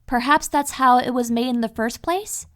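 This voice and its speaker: A woman voicing a calm, caring cartoon pony mentor in an audio story, reassuring and explanatory. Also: sits high, normal speed, lightly acted. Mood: thoughtful. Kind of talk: dramatic fan fiction audio reading.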